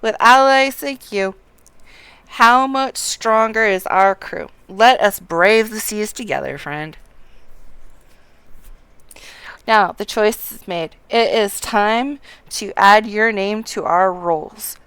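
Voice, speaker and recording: Woman reading as a creaky-voiced, hearty military officer. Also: medium pitch, slow, fairly bright tone, no echo. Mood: hearty, rousing. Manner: formal, rousing, reading aloud. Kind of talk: game dialogue read aloud.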